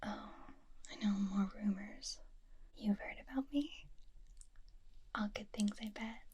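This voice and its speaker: A woman playing a flirty, free-spirited small-town witch in a breathy whispered ASMR roleplay, coy and teasing, close to the microphone. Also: medium pitch, dark tone, slight background noise, close to an everyday voice. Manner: slow, coy, teasing, with drawn-out pauses. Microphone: close binaural microphone.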